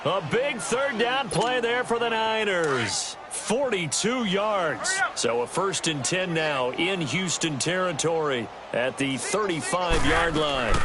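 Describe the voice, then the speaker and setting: A man with an American accent calls American football play by play in clipped, projected announcer phrases. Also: high-pitched. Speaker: play-by-play sports commentator. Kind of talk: American football game commentary. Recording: very noisy, game sound over voice. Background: stadium ambience from a video game.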